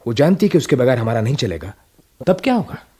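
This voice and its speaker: A man's voice: mid-range voice, very animated, fast, darkish tone, a little hiss or hum, natural voice. A man acting an anxious, irritable, self-doubting husband in a radio drama, hesitant and brooding. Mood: irritated.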